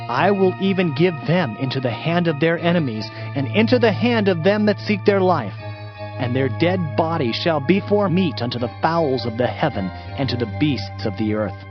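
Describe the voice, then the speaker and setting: A man's voice: on the high side, animated, normal speed, natural voice. A man playing a stern, fervent Old Testament prophet in a declamatory, emphatic scripture reading. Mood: wrathful, foreboding. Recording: dull audio with music behind.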